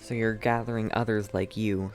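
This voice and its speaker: A man's voice: on the low side, flat delivery, normal speed. A man streaming a video game, commenting casually on its story as it plays. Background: game music.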